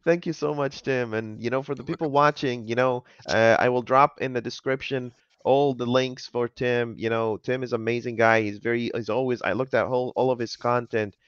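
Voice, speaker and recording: Upbeat host on a video call. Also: male voice, medium pitch, fairly even intonation, normal speed, few pauses, a bit bright, clean tone, dry recording. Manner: enthusiastic, laughs often, acts out quotes. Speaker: podcast host. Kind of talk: casual video-call interview. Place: home office with monitors, indoors. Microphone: headset. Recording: dull video-call audio.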